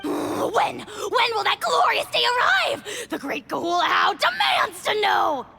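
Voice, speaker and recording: Pompous, creaky, high-pitched little dragon spirit. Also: female voice, very animated, unhurried, raised voice, exaggerated voice. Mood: gleeful, demanding. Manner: pompous, scornful, theatrical. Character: haughty, malicious little dragon spirit with grand airs. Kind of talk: video game character acting. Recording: game music behind the voice.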